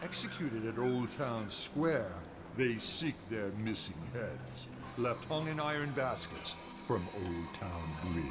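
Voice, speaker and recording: Spooky tour guide voice, dramatic and ominous, music going behind. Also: male voice, mid-range voice, lively intonation, slow pace, few pauses. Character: theatrical male ghost-tour narrator, ominous and showy. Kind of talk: spooky scripted narration.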